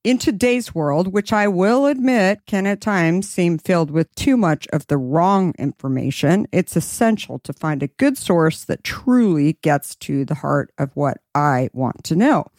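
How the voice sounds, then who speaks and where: A woman's voice: a little mellow, dry recording, clean studio sound. Upbeat woman host reading an ad. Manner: upbeat, persuasive sales pitch. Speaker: podcast host. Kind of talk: read ad.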